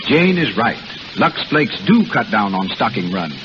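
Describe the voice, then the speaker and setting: Animated vintage radio ad man. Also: on the low side, measured pace. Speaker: radio commercial announcer. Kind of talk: scripted 1930s radio advertisement narration. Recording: muffled noisy 1930s radio broadcast.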